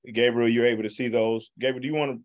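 Polite host hedging with ums on a video call. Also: male voice, mid-range voice, fairly even intonation, fast, highs cut off. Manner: polite, hesitant, lots of ums.

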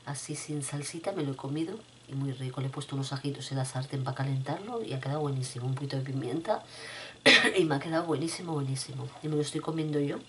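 Woman, middle-aged, low voice, has a cold, rough throat, chatty and repetitive, home webcam vlog. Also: quick pace, a bit bright, slight background noise. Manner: chatty, repeats herself, addresses viewers fondly. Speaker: vlogger. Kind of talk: casual chat to viewers. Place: home room, indoors. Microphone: webcam at desk.

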